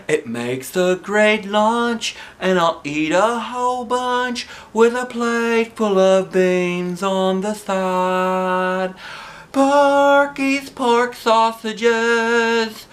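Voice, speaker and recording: Singing woman talent coach, played by a man, high pitch, drawn-out cowboy-tune jingle, children's storybook. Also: a bit bright, exaggerated voice. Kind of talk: sung parody of a cowboy tune.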